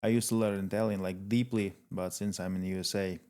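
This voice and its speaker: A man from Latvia whose first language is Russian, a coach speaking as a podcast guest and giving brief, measured answers. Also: deep voice, steady tone, darkish tone, smooth. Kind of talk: podcast interview.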